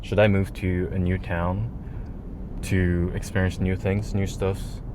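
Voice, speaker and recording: A man with a low voice and Japanese as his native language talks in a casual, rambling vlog monologue. His phrasing is non-native and slangy, with some swearing. Clear street and vehicle noise sits behind him. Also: flat delivery, takes it slowly. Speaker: vlogger.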